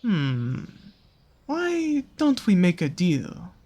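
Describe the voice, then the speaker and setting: A seductive naga monster of a dark forest, acted out by a performer, speaks very slowly in a husky, breathy voice. The lines swoop and curl with teasing menace, coaxing the listener in an intimate audio roleplay. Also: mid-range voice, wildly swooping pitch, heavily acted. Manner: slow, coaxing, teasing, drawn-out phrasing.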